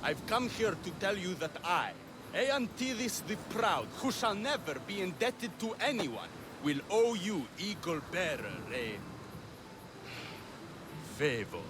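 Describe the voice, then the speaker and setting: A proud, pompous man of ancient Greece, theatrical about his honour in a game scene. His voice is fairly high and swoops around. Clear outdoor noise surrounds him. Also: very animated, takes it slowly, heavily acted. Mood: grandly aggrieved. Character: proud, melodramatic, honour-obsessed Greek family man. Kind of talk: video game cutscene acting.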